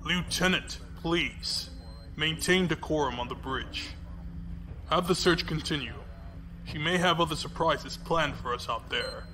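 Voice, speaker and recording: A man playing a composed, disdainful fleet commodore in an audio drama, with a measured, formal, commanding delivery. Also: fairly high pitch, pushed and bright, slight background noise. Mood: stern.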